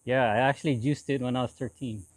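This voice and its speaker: A man in his forties from Hawaii chats casually as a guest. His pitch sits in the middle of the male range and the sound is dry and close. He talks haltingly, circling back over short phrases. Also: steady tone, no echo. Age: 40s. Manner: halting, repeats phrases and affirmations. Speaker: interview guest, lowrider builder. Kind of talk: casual conversational interview.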